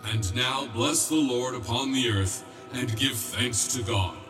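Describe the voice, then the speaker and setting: A man playing a calm, authoritative archangel in a religious audio drama, solemn and measured in delivery. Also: medium pitch, music in the background, natural voice. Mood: reassuring, commanding. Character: calm, authoritative male archangel revealing himself.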